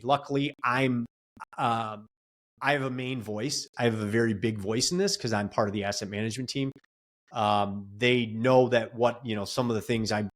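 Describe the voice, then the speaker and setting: A man in a casual podcast interview, a real estate investor and coach giving earnest advice in long run-on stretches. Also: mid-range voice.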